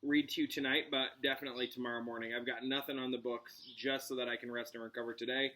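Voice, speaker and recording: A man reading a storybook aloud like a teacher, expressive and dramatic, pausing on key words. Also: mid-range voice.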